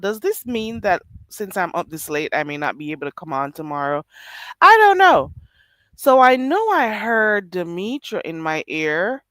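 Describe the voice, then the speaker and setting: A woman talks to her live stream audience late at night with a stuffy, slightly nasal voice. Her pitch swings up and down a lot as she chats and laughs. The sound is dry and close, with no room echo. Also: fairly bright tone. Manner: chatty, laughs often, drawls "child". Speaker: live streamer reading chat.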